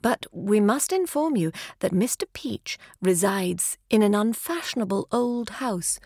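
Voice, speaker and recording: A woman's voice: medium pitch, lively intonation, a little slow, darkish tone, breathy edge, no room sound, clean studio sound. A woman with an English accent narrating a literary story in an arch, formal, theatrical storyteller's manner. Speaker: audiobook narrator.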